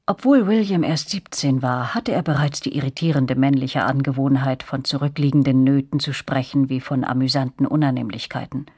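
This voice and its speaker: An actress narrating a radio drama as a reflective woman recalling her girlhood, with a breathy, husky voice. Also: extremely low pitch, steady tone, brisk, hardly any pauses, darkish tone. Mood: reflective, uneasy.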